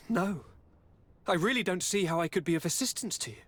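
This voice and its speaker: An actor playing a self-satisfied young aristocratic governor in a game, with florid, courtly, theatrical delivery. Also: male voice, sits high, normal speed, dry recording. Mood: feigned regret. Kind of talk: game cutscene acting.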